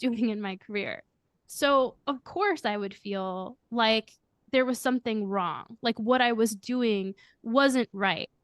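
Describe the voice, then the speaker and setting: Woman, high girlish voice, earnest, reflective, dry close sound. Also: clear, resonant tone, dry recording. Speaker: guest, coach and firm leader. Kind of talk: podcast interview answers.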